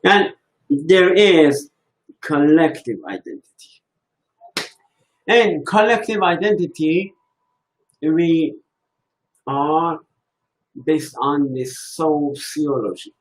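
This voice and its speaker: Slow, halting man. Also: on the high side, rough recording. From Iran. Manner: slow, halting, thinking aloud. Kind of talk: reflective religious talk.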